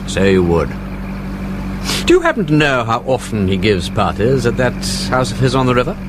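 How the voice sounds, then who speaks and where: A man's voice: mid-range voice, wildly swooping pitch, moderate pace, noisy background. A man acting in a radio drama as an urbane, dryly witty lord, with a breezy, drawling upper-class English accent. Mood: suave, discreet.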